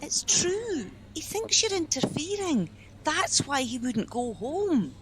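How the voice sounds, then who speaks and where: A woman's voice: sits high, sing-song, slow, noisy background, exaggerated voice. A woman playing a flustered, well-meaning helpline office worker in a naturalistic radio play, speaking hesitantly. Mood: confiding.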